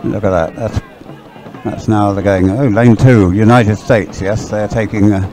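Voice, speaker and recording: A man giving loose, halting live race commentary outdoors, often rambling and thinking aloud. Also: fairly low pitch, steady tone. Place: outdoors beside a race course. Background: music, loudspeakers at a sports venue.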